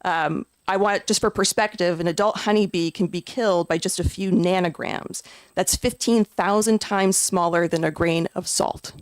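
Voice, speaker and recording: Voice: mid-range voice, fairly even intonation, moderate pace, clear, resonant tone, no room sound. A witness giving earnest, emphatic testimony at a legislative committee hearing, with frequent fillers and restarts. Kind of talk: public testimony.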